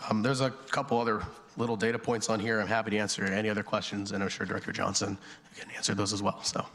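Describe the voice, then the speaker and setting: A man with a hoarse voice from a cold, a town planning director presenting to a board with many ums and restarts. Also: on the low side, flat delivery, fast talker, a bit bright. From North Carolina. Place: town council chamber at a podium. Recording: long reverb in a council chamber.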